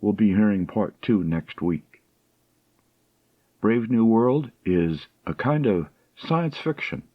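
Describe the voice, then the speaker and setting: A man hosting a radio program, reading a scripted introduction in a plain, deliberate way. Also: fairly low pitch, no echo. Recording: dull, dry, lo-fi sound.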